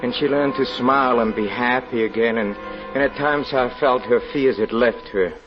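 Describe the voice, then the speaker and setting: An actor playing a haunted, grieving European husband confessing a tragedy, halting, stammering and pleading in theatrical 1940s radio drama style. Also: male voice, medium pitch, loud music behind the voice, close to an everyday voice. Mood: haunted, remembering. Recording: muffled, noisy old radio recording.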